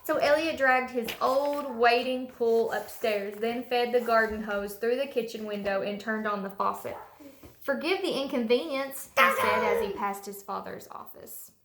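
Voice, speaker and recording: A woman's voice: on the high side, moderate pace, a bit bright. A woman preschool teacher talking and reading a storybook aloud to young children in simple language, at home. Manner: simple, child-directed explanations. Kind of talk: storybook read-aloud with casual chat to children. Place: indoors at home, echoey room. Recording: roomy echo, clear background noise.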